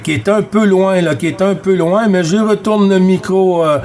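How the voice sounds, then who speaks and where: A man's voice: high voice, fairly even intonation, moderate pace, darkish tone. A man with a Quebec French accent, chatting casually and hesitantly as a CB radio operator at home. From Quebec, Canada. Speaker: CB radio operator and video host. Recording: clearly noisy home radio-room recording.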